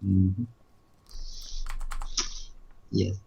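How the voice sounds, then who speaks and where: A man's voice: low voice, level tone, drawn-out words, vocal fry, warm tone, husky. A man chatting casually over a video game. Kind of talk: casual chat over gameplay. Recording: dull, muffled gaming mic.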